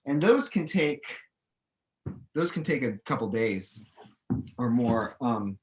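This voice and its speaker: A man's voice: mid-range voice, lively intonation, slow pace, a little hiss or hum, poor audio. A middle-aged man chatting casually as an author answering questions, thinking aloud and often restarting his sentences. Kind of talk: casual chat, explaining to students.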